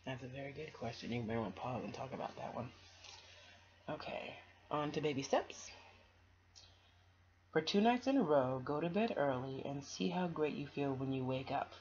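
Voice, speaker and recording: A woman's voice: deep voice, gravelly, a little mellow, dull audio, slight background noise. A woman doing a children's storytime, reading and chatting to listeners in an encouraging, affectionate way.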